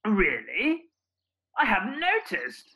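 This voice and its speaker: A courtly British country squire from a radio pantomime, played by a man. His voice is high and forceful, with lively theatrical swings and a softhearted gentleman's manner. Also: takes it slowly, vocal fry, heavily acted. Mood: earnest, smitten.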